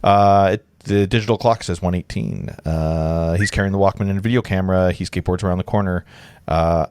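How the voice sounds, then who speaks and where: A man narrating a script informally and haltingly as host of a livestreamed table read, often correcting himself. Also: sits low, fairly even intonation, darkish tone, smooth, no echo, clean studio sound. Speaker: host, reader of stage directions.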